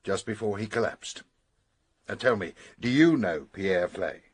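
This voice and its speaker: Husky, lowish police inspector. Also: male voice, animated, unhurried. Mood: firm questioning. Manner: polite, businesslike, firm. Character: composed, brisk, authoritative male police inspector. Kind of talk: radio detective drama acting.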